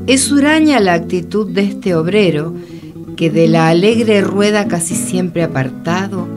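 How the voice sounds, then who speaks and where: A woman giving an expressive poetry recitation. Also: lowish voice. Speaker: poetry reader. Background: loud plucked guitar music.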